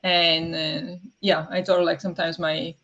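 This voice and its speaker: A woman hosting a casual morning livestream from home, chatting, apologizing and greeting viewers, with many fillers, soft laughs and a voice that sometimes turns raspy. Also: fairly low pitch, level tone, moderate pace, muffled recording. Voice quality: sometimes raspy, habitually clears throat. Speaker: livestream host.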